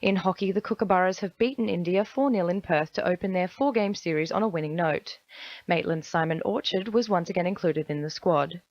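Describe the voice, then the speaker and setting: A woman with an Australian accent reads sports news in a slightly low voice. The sound is dry and close, in a scripted radio bulletin style. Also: brisk, darkish tone, dry recording, muffled recording.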